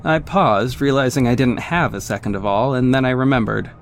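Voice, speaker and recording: A man's voice: medium pitch, brisk, soft background music, lightly acted. A man playing a confident young vigilante who narrates his own exploits in a wry, smug way in an audio drama. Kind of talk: scripted first-person audio drama narration.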